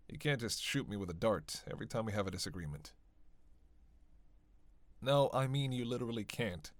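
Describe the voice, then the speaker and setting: A man's voice: medium pitch, fairly bright tone, no echo, heavily acted. Man playing a sardonic vampire, swinging expressively. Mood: sarcastic, defiant. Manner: sarcastic, playful, drawling with sighs and groans. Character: witty, teasing, world-weary male vampire, sardonic and dangerous. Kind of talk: roleplay audio drama acting.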